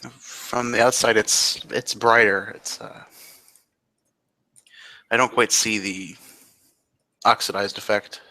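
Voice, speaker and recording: A man playing a calm seer of hidden nightmares in a roleplay, talking in a measured, matter-of-fact way. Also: fairly low pitch. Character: calm man who sees eerie hidden nightmare visions.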